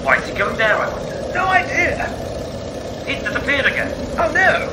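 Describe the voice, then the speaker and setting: Guy doing panicky pursuer in radio farce, super high yelling, far off, loud engine noise. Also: wildly swooping pitch, moderate pace, reverberant, exaggerated voice. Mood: excited, frantic. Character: frantic bumbling pursuers in an aircraft, panicky. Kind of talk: farcical radio play shouting.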